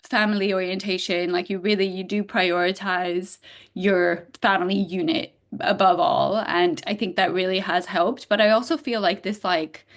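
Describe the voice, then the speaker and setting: Gravelly-voiced woman, animated guest. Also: mid-range voice. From Bulgaria. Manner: animated, frequent "like" fillers, quick laughs. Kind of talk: casual interview chat.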